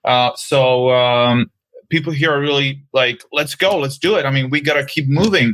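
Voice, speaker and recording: A man's voice: medium pitch, normal speed, a bit bright, dry recording, rough recording. A man from the Republic, hosting a casual interview and talking hesitantly with many fillers. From Czech Republic. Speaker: podcast host.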